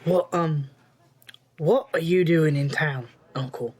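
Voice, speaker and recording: Young male occultist, played by an actor: arrogant, sarcastic, fairly high voice, audio drama delivery. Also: takes it slowly, darkish tone, slightly rough. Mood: dry, suspicious. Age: young adult. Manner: sarcastic, overconfident.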